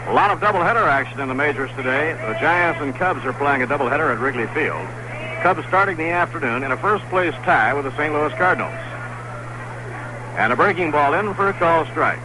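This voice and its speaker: A man doing play-by-play commentary of a baseball game on radio, steady and even with quick rises on plays. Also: fairly high pitch. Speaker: play-by-play announcer. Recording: noisy old 1960s radio broadcast.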